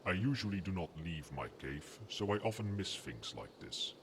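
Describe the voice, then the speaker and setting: A man playing a huge old dragon in its true form for an ASMR roleplay, calm and fond, musing in formal phrases. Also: low-pitched, moderate pace, full character voice. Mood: serene, fond. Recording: loud ambient noise behind the voice.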